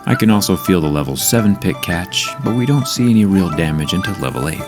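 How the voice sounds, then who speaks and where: A man's voice: low voice, lively intonation, a little fast. A man narrating a scripted tech review in an upbeat, explanatory way, with background music playing. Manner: upbeat, explanatory, product-testing tone.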